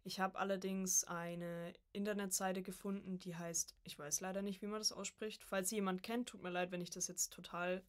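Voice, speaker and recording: A woman's voice: medium pitch, level tone, normal speed, hardly any pauses. A young woman chatting casually as a guest on a podcast, a student of voice acting.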